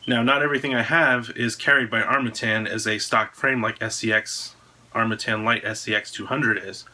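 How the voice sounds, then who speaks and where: A man's voice: fairly low pitch, fairly even intonation, moderate pace, bright, forceful tone. An American man in his thirties talking casually to camera, presenting a product announcement in an indoor room at home. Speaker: drone frame designer presenting own video.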